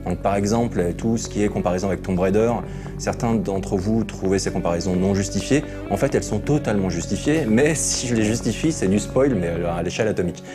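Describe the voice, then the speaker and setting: A man's voice: fairly low pitch, brisk. A man co-hosting a show about video games in a conversational presenting style, with music behind him. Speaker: co-host and game critic. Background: music under the voice.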